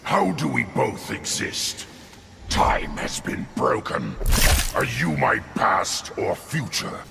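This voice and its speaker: An actor playing a grim, menacing video game warrior with a gravelly voice. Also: male voice, medium pitch, very animated, takes it slowly, exaggerated voice. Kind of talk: video game character dialogue. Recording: long hall reverb under music.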